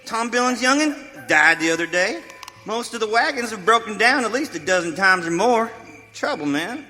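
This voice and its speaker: A griping settler father in a western radio play, with a rustic American accent. His voice is high, piercing and swings widely in pitch. He talks in exasperated, folksy complaints. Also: expressive, moderate pace, sharp tone, soft background music. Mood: grumbling. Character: cranky, grumbling settler father, always complaining. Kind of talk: live western radio theater acting. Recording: reverberant hall, faint background noise.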